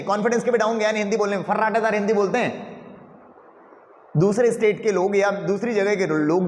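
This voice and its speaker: Male teacher, high voice, rhetorical questions to students, roomy classroom echo. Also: a little mellow. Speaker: English teacher. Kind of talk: classroom lecture.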